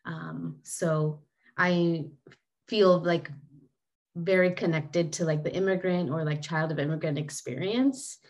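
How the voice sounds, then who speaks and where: A woman's voice: fairly low pitch, steady tone, takes it slowly, darkish tone. A young woman from California's Bay Area, a podcast guest on a call, chatty and thoughtful with lots of "like". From San Francisco Bay Area, California. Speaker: interview guest. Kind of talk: casual chat. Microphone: remote call.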